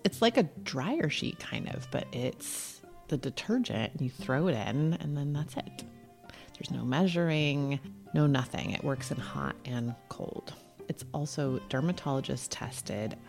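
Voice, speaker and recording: A woman's voice: extremely low pitch, animated, measured pace, vocal fry, a little mellow, faint music behind. A woman hosting a podcast, speaking in a casual, conversational way. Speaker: podcast host. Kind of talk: casual podcast chat and host-read ad.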